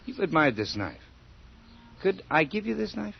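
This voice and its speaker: Deferential farmhand, very animated pitch. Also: male voice, normal speed, gravelly. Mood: sinister, earnest. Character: polite, secretly sinister male farmhand. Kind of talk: radio drama acting. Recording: dull, noisy radio drama recording.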